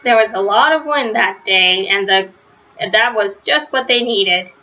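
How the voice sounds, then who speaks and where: A woman reading a children's storybook aloud in an expressive way, with drawn-out emphasis. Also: medium pitch. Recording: dull, lo-fi sound.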